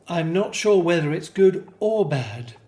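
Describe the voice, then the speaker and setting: A man's voice: sits high, animated, unhurried, dark tone. A man playing a hopeful Hebrew slave and family man in a scripted radio drama, telling things earnestly. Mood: eager, serious.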